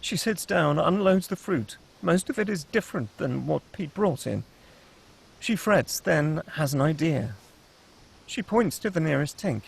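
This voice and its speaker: Creaky, higher-pitched man narrating, dry sound. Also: animated, measured pace, low-quality recording. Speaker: narrator reading screenplay directions. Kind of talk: scripted narration at a table read.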